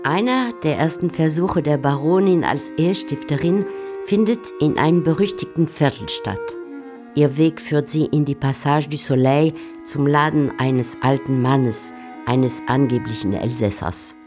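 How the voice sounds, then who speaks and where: A woman's voice: sits low, measured pace. A woman narrating a radio drama in a scripted literary style. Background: guitar music.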